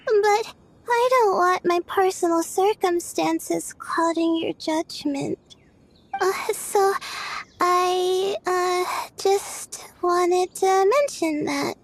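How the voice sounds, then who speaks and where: A young woman voicing a shy, sleepy student stargazer who speaks softly and hesitates often. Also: very high-pitched, extremely slow, a little hiss or hum, exaggerated voice, poor audio. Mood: timid. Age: young adult. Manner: soft, hesitant, full of "uh"s.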